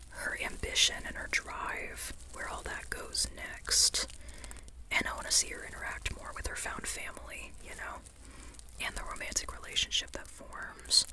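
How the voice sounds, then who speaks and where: An ASMR creator whispering an informal, rambling book chat in a breathy, unvoiced voice, tentative and softly repeating phrases.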